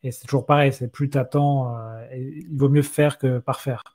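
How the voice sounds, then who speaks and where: A man's voice: medium pitch, steady tone, normal speed, a little mellow. A man hosting a podcast interview in a casual, conversational way, rephrasing points and feeling his way informally into questions.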